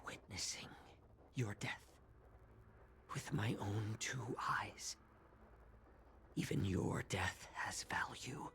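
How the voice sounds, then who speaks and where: An actor playing a grave, resolute fox-folk healer in a video game, speaking in a solemn, hushed, measured way. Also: male voice, lowish voice, whispered. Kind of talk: dramatic video game voice acting.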